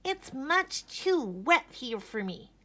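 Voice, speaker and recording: A woman's voice: high-pitched, very animated, slow pace, sharp tone, pitched above the performer's own voice, exaggerated voice. A woman voicing a fussy, restless little female bunny in a storybook, with plaintive, emphatic delivery. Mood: plaintive, complaining.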